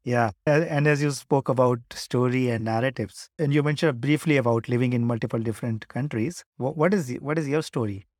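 A man interviews a guest as a podcast host. His voice sits at an average pitch and is recorded dry, with little room sound. He phrases his questions conversationally and reflectively, feeling his way into them with some hesitation. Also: brisk, few pauses, darkish tone, no room sound.

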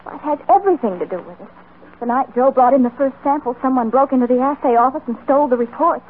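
High-voiced, worried frontier wife. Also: female voice, lively intonation, fast talker. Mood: fearful. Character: worried, pleading frontier wife, adult woman. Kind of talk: old-time radio western acting. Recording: muffled, noisy vintage radio recording.

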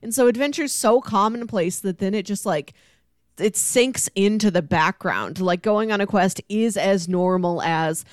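A woman's voice: mid-range voice, measured pace, no room sound, clean studio sound. A woman co-hosting a casual book discussion, playfully making her points with mock quotes and comparisons. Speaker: podcast co-host discussing a graphic novel.

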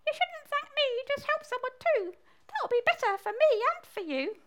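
A woman's voice: big pitch swings, normal speed. A woman voicing a small, eager, curious frog in a rhyming children's story. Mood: concerned, helpful. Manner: squeaky, exclaiming.

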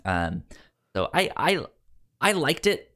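A man's voice: fairly high pitch, big pitch swings, slow, pushed and bright. A man hosting a podcast in a casual, chatty style, often stammering and repeating words and syllables as he goes. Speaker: podcast host.

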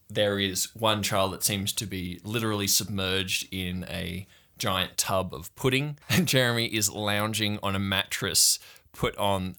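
A man's voice: on the low side, bright, forceful tone. A man narrating an improvised tabletop game as the game master.

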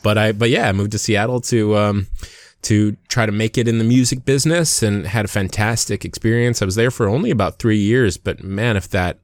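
An American man in his forties from Hawaii talking casually as a podcast host, often halting and restarting his thoughts. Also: lowish voice, no echo, studio quality. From Hawaii, United States. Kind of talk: casual conversational podcast talk.

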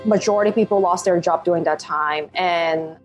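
A woman in her thirties from Hong Kong, a Cantonese native speaker with a Hong Kong English accent, telling her story as a podcast guest in animated, laugh-filled talk. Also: on the low side, a little fast, faint music behind. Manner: animated, laughs often, non-native phrasing. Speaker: guest, life coach. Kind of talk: podcast interview.

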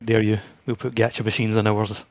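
A man's voice: fairly low pitch, flat delivery, a little fast, no room sound. A man co-hosting a podcast in casual chat about video games. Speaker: podcast co-host.

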